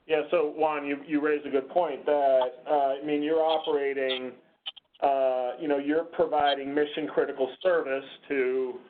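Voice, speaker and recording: A man moderating an unscripted meeting discussion on a conference call, speaking in a halting, hesitant way. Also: fairly high pitch, steady tone. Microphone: conference call line. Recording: muffled, phone-like conference call.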